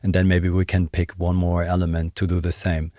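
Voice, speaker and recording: A man's voice: sits low, monotone, fairly quick, mellow, no echo, clean studio sound. A man in his thirties giving a casual, conversational music production tutorial, explaining as he thinks aloud. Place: small indoor home studio. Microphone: desk microphone close up.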